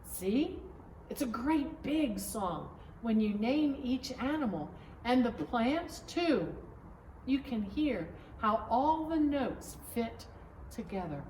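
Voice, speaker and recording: A woman voicing God as a playful, kindly little girl in a children's storybook, with gentle, inviting phrasing. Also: a little high, very animated, slow. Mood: encouraging. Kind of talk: children's picture book read-aloud. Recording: roomy echo, distant mic, faint noise.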